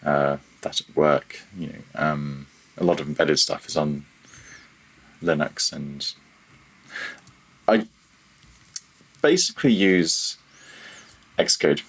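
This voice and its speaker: A man's voice: very deep voice, slow pace, pauses often, fairly bright tone, husky, low-quality recording. A man talking casually as a technical interview guest, feeling his way through his thoughts and rephrasing as he goes. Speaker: podcast guest, audio programmer.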